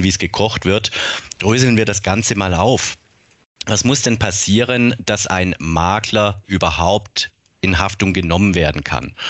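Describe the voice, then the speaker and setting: A man with a soft Swabian accent explains things in a measured, lecturing way. His voice sits fairly low. The sound is dry and close, with no room around it. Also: dry recording. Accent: light Swabian, softened. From Upper Swabia, southern Germany. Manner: measured, lecturing, legal phrasing. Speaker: guest, insurance broker trainer. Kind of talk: explanatory podcast talk.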